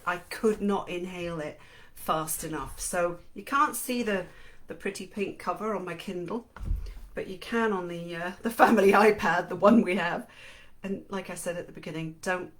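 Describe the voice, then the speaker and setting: A woman's voice: lowish voice, fairly bright tone. A middle-aged woman with an English accent, co-hosting a live-streamed book show in a chatty, self-deprecating way, often laughing. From England and Switzerland. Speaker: podcast co-host. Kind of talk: live-streamed casual chat.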